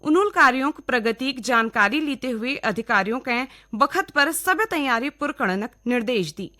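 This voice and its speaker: A woman's voice: high-pitched, lively intonation, moderate pace, sharp tone. A woman with a Kumaoni accent reading scripted regional radio news. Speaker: newsreader.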